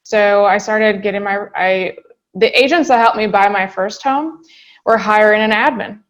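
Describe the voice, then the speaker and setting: A woman being interviewed on a podcast, telling her story in a brisk, chatty way. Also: medium pitch, muffled recording. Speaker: guest real estate agent.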